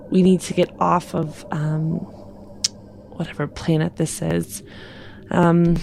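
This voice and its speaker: A woman's voice: fairly low pitch, vocal fry, a little mellow, a little hiss or hum. A woman playing an earnest, polite space traveler in improvised tabletop roleplay, plain-spoken and hesitant.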